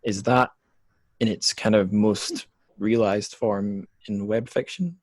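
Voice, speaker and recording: A man's voice: lowish voice, fairly even intonation, slow pace, darkish tone, no room sound. A man hosting a casual podcast interview, asking questions in a tentative way and often rephrasing them as he goes.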